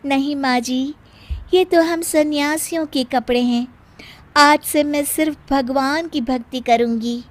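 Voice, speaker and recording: Woman acting young cartoon daughter-in-law, high, unhurried, affected sweetness, smug mock piety. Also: steady tone. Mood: feigned innocence. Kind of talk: Hindi animated moral story voice acting.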